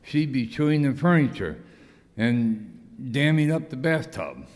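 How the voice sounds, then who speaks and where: A fussy, protective male castaway, played by a man, talks slowly with very lively inflection in radio-skit style. His voice rings in an echoey hall. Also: mid-range voice. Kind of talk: amateur radio comedy skit acting.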